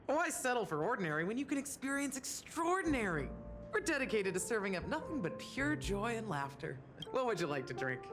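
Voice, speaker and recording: A woman's voice: medium pitch, big pitch swings, fairly quick, over music, natural voice. A woman playing a breezy, confident bartender in a video game, welcoming customers with showy sales patter. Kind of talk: video game dialogue acting.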